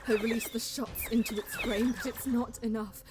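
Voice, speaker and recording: A woman's voice: fairly high pitch, huge pitch swings, moderate pace, background noise. A woman playing a solemn elf seer, narrating an audio drama in intense, poetic first person over music. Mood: urgent, solemn. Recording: music behind the voice.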